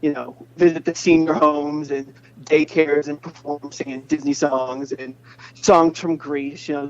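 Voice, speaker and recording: A young man talks in a casual, playful interview style. His voice sits a little high. The phone recording is muffled, with noise underneath. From New England, United States. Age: 20s. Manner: chatty, playful. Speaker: interview guest, pop singer. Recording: muffled noisy phone connection.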